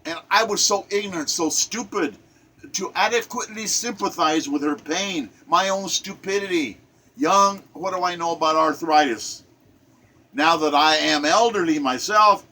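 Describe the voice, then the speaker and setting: An impassioned man in his seventies speaks with emphasis, often repeating phrases to drive a point home. His voice sits a little high for a man and sounds bright and forceful. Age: 70s. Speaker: poetry reader. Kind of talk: impassioned spoken commentary and poetry reading.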